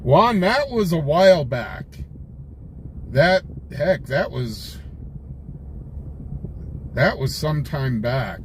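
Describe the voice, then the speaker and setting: Slow-talking American man over loud car noise. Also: fairly high pitch, very animated. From United States. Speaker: livestream host, scanner-chasing reporter. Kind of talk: casual chat with livestream viewers. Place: inside a car, live on patrol. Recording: raw livestream, loud vehicle noise.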